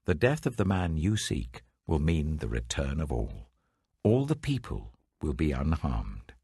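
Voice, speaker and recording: Man playing a ruthless, deep-voiced adviser. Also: sing-song, measured pace, warm tone, husky, no echo, studio quality, full character voice. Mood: decisive. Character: ruthless royal adviser, cold and decisive strategist.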